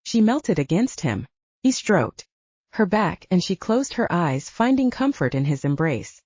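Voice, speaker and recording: A woman's voice: fairly low pitch, very animated, unhurried, darkish tone, slightly rough, no room sound, highs cut off. A woman narrating an audiobook novel in a scripted storytelling style.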